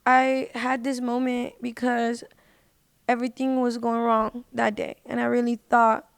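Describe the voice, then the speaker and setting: A woman with a fairly high voice chats as an interview guest in a close, dry recording. Her talk is informal and slangy, and she repeats phrases to drive them home. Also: flat delivery, a little slow, gravelly, clear, resonant tone, no room sound. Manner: informal, slangy, emphatic with repetition. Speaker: podcast guest, independent singer. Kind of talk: casual personal storytelling in an interview.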